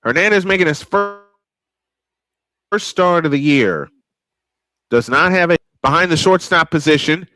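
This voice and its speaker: A man's voice: on the high side, expressive, unhurried, long pauses, pushed and bright, dry recording. A man calling live baseball play-by-play in a folksy, old-school broadcaster cadence. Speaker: play-by-play announcer.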